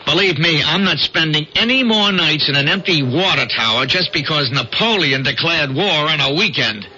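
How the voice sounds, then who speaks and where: An actor playing a harried young small-town reporter, an eager schemer who stammers and gets exasperated, in an old-time radio drama. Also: male voice, a little high, animated, normal speed, lightly acted. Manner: stammering, flustered. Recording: noisy muffled vintage radio broadcast.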